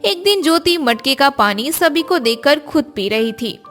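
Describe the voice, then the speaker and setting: A woman narrating a family cartoon story in an even storytelling tone. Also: high-pitched, unhurried, faint music behind.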